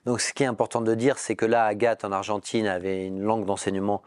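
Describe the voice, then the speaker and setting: A man's voice: on the low side, little pitch movement, quick pace, a little mellow, dry recording. Man hosting an interview, dry mic. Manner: guiding questions, frequent fillers. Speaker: interviewer, prep school director.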